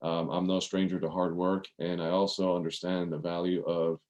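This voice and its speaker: Earnest thirties male guest, deep-pitched, rambling storyteller. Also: little pitch movement, moderate pace, darkish tone. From Maryland, USA. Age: 30s. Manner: earnest, many fillers and restarts. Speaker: podcast guest. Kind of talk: casual interview storytelling.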